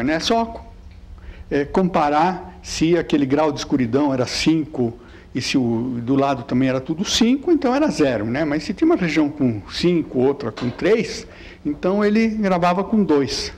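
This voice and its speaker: Older man, born in Italy, light Italian accent, professor lecturing, fairly high voice, halting, lots of fillers. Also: darkish tone. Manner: halting, many fillers and repeated words; chatty, keeps asking "né?". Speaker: physics professor giving a lecture. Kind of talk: lecture with slides.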